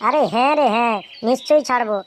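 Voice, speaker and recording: A woman's voice: on the high side, fast talker, close to an everyday voice. A woman voicing a gloating, bullying male villain in a comedy cartoon, taunting and crude.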